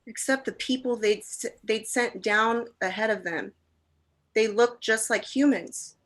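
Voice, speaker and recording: A woman's voice: a little high, measured pace. A woman playing a frightened but defiant young boy in a radio play. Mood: frightened, insistent.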